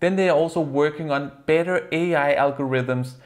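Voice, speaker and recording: Danish-accented man reviewing software. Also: fairly high pitch, normal speed. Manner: earnest, explanatory, slightly non-native phrasing. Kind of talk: product review to camera. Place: plain indoor room at a desk.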